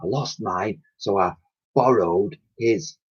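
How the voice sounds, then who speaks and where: A man's voice: lowish voice, slow, husky. A man voicing a frightened old female cleaner with a blunt, slangy way of talking. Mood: chirpy. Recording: dull lo-fi recording.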